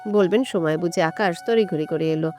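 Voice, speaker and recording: A woman's voice: lowish voice, a little fast, dark tone. A woman reading a scripted story as a dramatic narrator. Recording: music bed under the voice. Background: background music.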